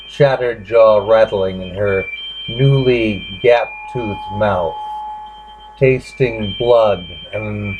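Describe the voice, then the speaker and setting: A man giving a grave, halting dramatic reading of literary fiction as its narrator. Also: lowish voice. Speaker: narrator reading a short story. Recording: dull lo-fi recording with clear background noise.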